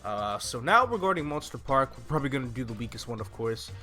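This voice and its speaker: A man's voice: medium pitch, animated, fast, pushed and bright. A man in his twenties giving laid-back, explanatory walkthrough commentary on a video game, speaking close to a desktop microphone. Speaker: gaming YouTuber. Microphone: desktop microphone on a stand, close. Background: game music.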